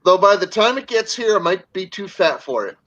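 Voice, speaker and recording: A man's voice: high voice, sing-song, brisk, fairly bright tone, highs cut off. A man in his forties joking and laughing on a group video call. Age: 40s. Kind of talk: casual chat.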